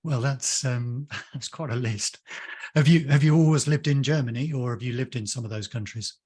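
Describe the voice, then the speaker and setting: Husky-voiced male interview host. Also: mid-range voice, normal speed, a little mellow. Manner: friendly, chatty, with fillers and small laughs. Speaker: interview host, company founder. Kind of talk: interview hosting.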